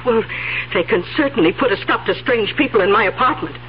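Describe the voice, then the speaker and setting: Actress as a fretful, confused elderly aunt, dull radio sound. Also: female voice, on the high side, lively intonation, fast, close to an everyday voice. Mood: indignant, insistent. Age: older. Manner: hesitant, stammering, insistent. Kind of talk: 1970s radio drama acting. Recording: dull old radio recording, faint hum.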